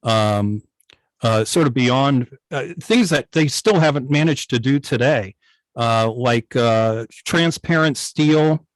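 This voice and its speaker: A middle-aged man explaining things casually on a video call, often pausing to search for his words. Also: mid-range voice, no room sound. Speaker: guest, old-time radio station operator. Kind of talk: casual explanatory talk on a club video meeting.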